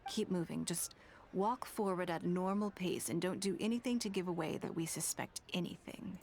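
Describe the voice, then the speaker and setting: An actress playing a shrewd, composed noblewoman and political leader in a video game, speaking formally and deliberately. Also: on the low side, a little mellow, slight background noise, natural voice. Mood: calm, watchful. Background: background music.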